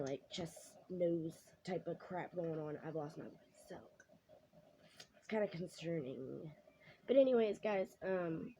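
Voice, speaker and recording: A woman, hoarse from losing her voice, chatting casually to her viewers in a rambling way. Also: fairly low pitch, dark tone. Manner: rambling, many ums and self-corrections. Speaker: vlogger. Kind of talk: casual chat to camera. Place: home kitchen while cooking. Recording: dull lo-fi home recording, faint noise.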